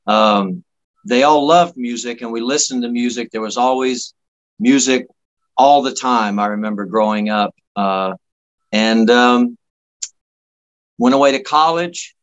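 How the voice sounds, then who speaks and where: Musician guy from florida being interviewed, normal pitch, rambly casual stories, jokey and folksy, stops and starts. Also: a little slow, highs cut off. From Miami, Florida. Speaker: guest musician, singer-songwriter. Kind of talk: casual anecdotal storytelling.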